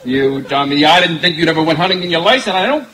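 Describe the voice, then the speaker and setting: A bossy comic straight man acted by a man, high and forceful, in an old-time radio routine. He blusters and insists, with faint noise of a live outdoor stage behind him. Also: animated, quick pace, rough recording. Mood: exasperated. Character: exasperated, bossy straight man of comedy duo. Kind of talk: old-time radio comedy double act. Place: outdoor night stage in woods, far camera.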